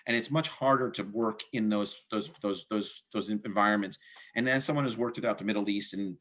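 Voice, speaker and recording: A man's voice: on the low side, brisk, fairly bright tone. A man hosting a political discussion over a video call, talking casually and often repeating words as he thinks. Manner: conversational, repeats words, laughs easily. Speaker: host.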